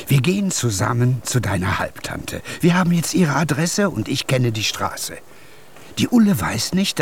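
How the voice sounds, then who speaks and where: Slow-talking, kindly eccentric paper picker. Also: male voice, medium pitch, slight background noise. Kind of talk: German radio play for children.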